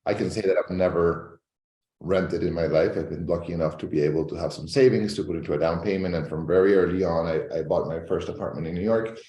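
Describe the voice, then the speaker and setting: Man, very low voice, mellow tone, echoey room, animated storyteller. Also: fast. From Venezuela. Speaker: real estate agent guest. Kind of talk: interview storytelling.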